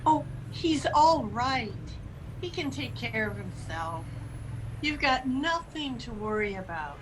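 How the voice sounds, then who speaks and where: Box-office girl, radio drama, actress: high and slow-spoken, mild, helpful, clear noise behind. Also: animated. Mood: polite, reassuring. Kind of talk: radio play acting. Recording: noisy live-streamed audio.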